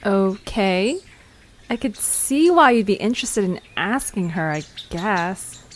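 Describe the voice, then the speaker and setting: A woman's voice: medium pitch, lively intonation, slow pace. A woman playing a friendly, curious young adventurer who talks casually and asks questions in an audio drama. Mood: uneasy curiosity. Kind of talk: acted audio drama dialogue.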